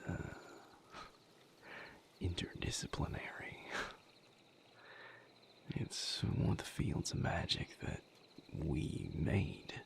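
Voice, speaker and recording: A man acting as a gentle vampire healer in an intimate audio roleplay. His low voice is heavy with fry and often falls to a breathy whisper. He talks slowly and haltingly, wry, a little weary and thoughtful. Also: a little hiss or hum, full character voice. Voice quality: breathy, gravelly near-whisper. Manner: halting, reflective, casually profane.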